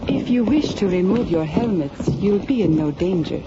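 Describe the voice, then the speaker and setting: A woman's voice: mid-range voice, expressive, moderate pace, loud music behind the voice, close to an everyday voice. A woman playing a gracious, composed alien spacefarer in a radio drama, speaking with formal courtesy. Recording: slightly dull old radio drama sound.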